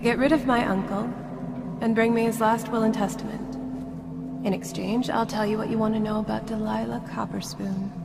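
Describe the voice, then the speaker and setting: A woman voicing a haughty, scheming young noblewoman in a video game, poised, deliberate and coolly commanding. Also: fairly high pitch, little pitch movement, gravelly, heavy background noise. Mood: imperious, bargaining.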